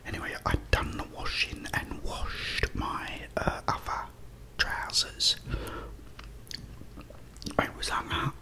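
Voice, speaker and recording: A man's voice: slow pace, pauses often, bright, forceful tone. A middle-aged man with a British accent, whispering a rambling, chatty story as an ASMR creator in a dim room, close to the mic. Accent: British English. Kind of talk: whispered ASMR chat while eating. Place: dim indoor room at a table.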